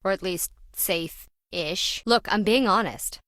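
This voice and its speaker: Sharp-tongued, greedy businesswoman, bright and dry. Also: mid-range voice, slow, dry recording. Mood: brash, blunt. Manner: sardonic, dismissive, blunt. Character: greedy, sarcastic, hard-edged businesswoman treasure hunter. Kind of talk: video game character dialogue.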